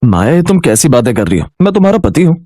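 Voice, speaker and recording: A man's voice: medium pitch, big pitch swings, fast talker, mellow, no room sound, studio quality. A man playing a young husband in a storybook tale, bewildered, mild and yielding, on a noisy recording. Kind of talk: Hindi moral storybook dialogue.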